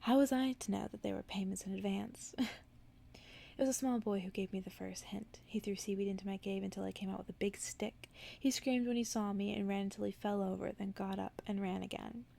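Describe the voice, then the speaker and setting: A woman softly reading a bedtime story in an ASMR style, hushed and gentle, slipping into whispers. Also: mid-range voice, steady tone, brisk, creaky. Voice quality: soft, partly whispered. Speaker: ASMR narrator.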